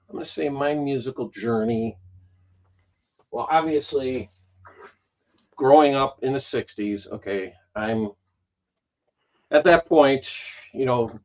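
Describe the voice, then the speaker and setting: A man's voice: lowish voice, darkish tone. Older man reminiscing over muffled audio. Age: 60s. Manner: storytelling, unhurried, with many "you know" asides. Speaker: guest. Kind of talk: casual chat.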